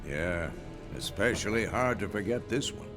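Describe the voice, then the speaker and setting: A man as a deep, dry seasoned hunter. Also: animated, normal speed, music in the background, close to an everyday voice. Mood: wry. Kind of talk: video game voice acting.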